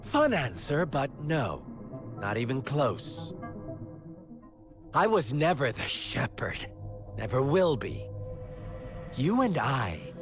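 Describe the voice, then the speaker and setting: A man voicing a taunting, playfully menacing video game villain with theatrical, teasing, drawn-out delivery over music. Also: mid-range voice, big pitch swings. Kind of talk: dramatic video game voice acting.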